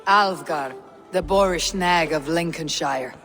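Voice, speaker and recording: A woman's voice: deep voice, takes it slowly, a bit bright. An actress playing a tough, blunt female Viking warrior in a game cutscene. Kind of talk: video game cutscene acting. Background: orchestral game music.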